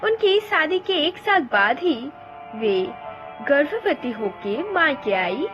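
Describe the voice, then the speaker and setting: A woman's voice: high-pitched, very animated, takes it slowly, highs cut off. A woman storyteller narrating a family ghost story in a dramatic style. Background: music.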